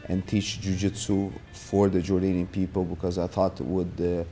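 A deep-voiced man in his forties from Brazil speaks in a documentary interview, his tone mellow and fairly even. He halts often with filler sounds as he talks in a martial arts gym, with soft music underneath. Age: 40s. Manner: halting, many filler sounds. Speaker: jiu-jitsu instructor.

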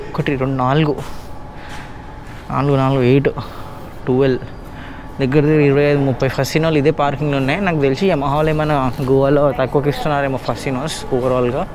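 Young guy motovlogging, chatty and relaxed, mid pitch, street noise behind. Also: quick pace. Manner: mixes Telugu with English, chatty and upbeat. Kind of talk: casual vlog narration. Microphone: lav mic on helmet while riding. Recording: road noise.

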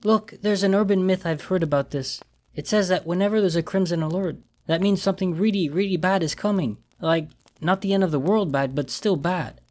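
A man's voice: sits high, brisk, a little mellow, voice pushed up, natural voice. A man voicing a panicked young woman who is speaking over the phone in a horror story. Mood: frightened. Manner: frantic, breathless.

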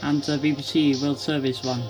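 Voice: medium pitch, level tone, moderate pace, over music. A vlogger casually demonstrating products in plain, simple informal phrasing. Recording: noisy home camera recording.

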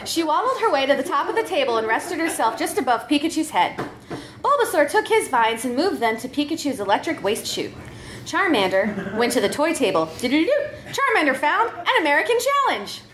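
A woman's voice: high-pitched, very animated, fairly quick, noisy background. A woman reading a comic story aloud as a performer, giggly and prone to breaking up as she goes. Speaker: comedy troupe member reading a story.

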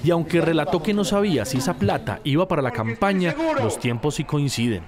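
A man's voice: a little high, expressive, a little fast, a loud crowd. A man with a Colombian accent voicing a news report in an emphatic narrating style. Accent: Colombian Spanish.

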